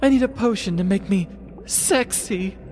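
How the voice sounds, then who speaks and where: A desperate, eager young woman acted out by a woman shopping in a Halloween podcast skit. She pleads earnestly in a medium-pitched voice. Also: normal speed, over music, close to an everyday voice.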